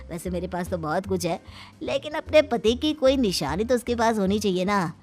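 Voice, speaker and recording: A woman's voice: mid-range voice, lively intonation, moderate pace, darkish tone, lightly acted. A woman voicing a cunning, bossy father of the bride in a cartoon moral story, taunting and threatening with smug assurance.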